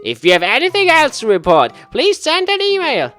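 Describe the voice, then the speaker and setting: High-pitched, officious HR officer. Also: male voice, wildly swooping pitch, normal speed, pushed and bright, soft background music, heavily acted. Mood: brisk, dismissive. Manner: officious, curt, dismissive. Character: brisk, unhelpful human resources officer on the phone.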